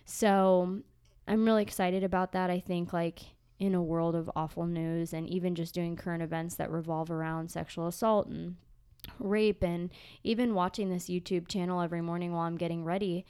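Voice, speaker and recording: A woman talks through a news story on a podcast, her voice nasal and congested. She pitches a little low, and the tone stays smooth and clear despite the stuffiness. She rambles casually with plenty of fillers. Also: flat delivery, moderate pace, a little mellow. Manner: chatty, rambling with many fillers. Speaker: podcast co-host. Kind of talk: casual chat.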